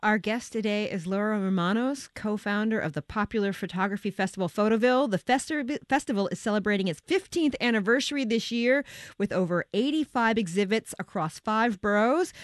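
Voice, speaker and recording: Woman radio host, steady scripted questions, dry close sound. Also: medium pitch, no echo. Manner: measured, scripted introductions and questions. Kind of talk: interview hosting.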